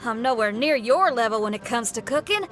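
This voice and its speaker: A woman's voice: on the high side, lively intonation, fairly quick, soft background music, natural voice. A woman voicing a spirited, friendly young female musician in a video game, with a folksy, casual way of talking. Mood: easygoing, modest. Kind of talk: animated video game character acting.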